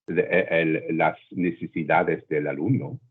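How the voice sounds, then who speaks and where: Slow, husky man on a tinny call. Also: a bass voice, fairly even intonation. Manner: halting learner's Spanish, slow self-corrections. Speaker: language student. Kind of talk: casual interview. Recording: tinny phone-like call audio.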